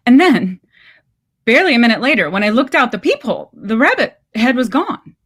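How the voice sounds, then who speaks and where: A woman's voice: fairly high pitch, sing-song, brisk, a bit bright, slightly rough, pitched above the performer's own voice, full character voice. A woman voicing an anxious, worn-down mother in a story reading, guarded and insistent. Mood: uneasy. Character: anxious, worn-down, defensive mother isolated at home.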